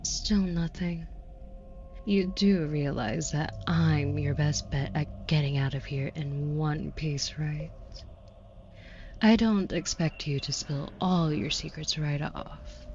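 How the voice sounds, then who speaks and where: A woman acts out a seductive female demon in an audio roleplay, taunting and condescending. Her low voice moves very slowly and deliberately, breathy and slipping into whispers. She sounds flirty and quietly menacing, over noisy ambience. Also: steady tone, highs cut off. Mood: smug, coaxing. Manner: teasing, condescending, slow deliberate delivery dropping into whispers.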